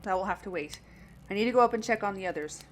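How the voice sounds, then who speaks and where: A woman's voice: medium pitch, quick pace, slight background noise, close to an everyday voice, poor audio. A woman acting as a wounded, commanding and stoic Marine sergeant in an audio drama. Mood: firm.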